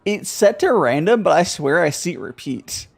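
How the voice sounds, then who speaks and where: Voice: mid-range voice, very animated, normal speed, gravelly. A live streamer chatting casually while playing a video game, playfully echoing the dialogue. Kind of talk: casual gameplay livestream chat. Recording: game music behind the voice. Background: video game music and dialogue.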